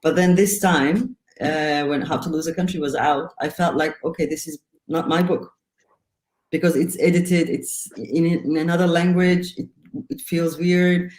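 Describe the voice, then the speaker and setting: A woman writer from Turkey, whose first language is Turkish, speaking on a panel in a reflective, halting way with short laughs between phrases. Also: lowish voice, fairly even intonation, a little mellow. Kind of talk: panel discussion. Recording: roomy hall sound.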